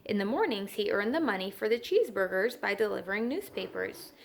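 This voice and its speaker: A woman's voice: mid-range voice, animated, a little fast, vocal fry, slight background noise. A woman teacher reading a children's story aloud and adding simple explanatory asides.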